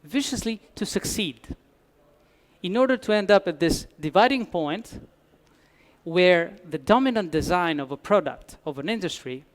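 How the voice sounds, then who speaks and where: A man's voice: high-pitched, expressive, normal speed. A man from Albania, giving a conference talk with dry humor and frequent restarts. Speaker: conference speaker. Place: conference hall.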